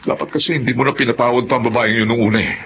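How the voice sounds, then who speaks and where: A stern, protective older brother in a Filipino radio drama. His mid-pitched voice is blunt and admonishing, recorded dully with faint noise. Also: very fast, creaky, a bit bright. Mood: indignant. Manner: emphatic, admonishing. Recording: dull lo-fi audio with faint noise.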